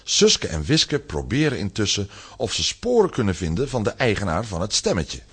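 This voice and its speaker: A man's voice: on the low side, sing-song, moderate pace, a bit bright, breathy edge, heavily acted. A man narrating a scripted children's audio drama as the storyteller.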